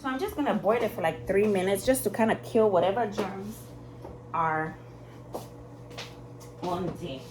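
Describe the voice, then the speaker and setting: A woman's voice: medium pitch, normal speed, pauses often, heavy background noise. A woman in her thirties with a Ghanaian accent, chatting casually to her camera while she cooks in a home kitchen. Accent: Ghanaian, mixed with Italian and British touches. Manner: chatty, lots of "like" and restarts, shifts accents playfully. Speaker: vlogger. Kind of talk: casual vlog chat while cooking. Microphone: phone camera on the counter, filming from below.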